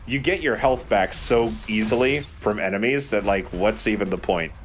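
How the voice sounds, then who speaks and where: A man's voice: lowish voice, moderate pace, a little hiss or hum. A man co-hosting a let's play, casually explaining the game in a joking, conversational way. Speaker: let's play co-host, gamer. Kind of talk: casual gameplay commentary.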